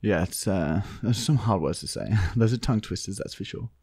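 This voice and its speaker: An Australian man chats on a comedy podcast with big swings in pitch. He banters and swears casually, often in a playfully mock-earnest way, close to a studio mic. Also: animated, brisk, darkish tone, breathy edge. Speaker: podcast co-host. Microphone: close boom-arm podcast mic.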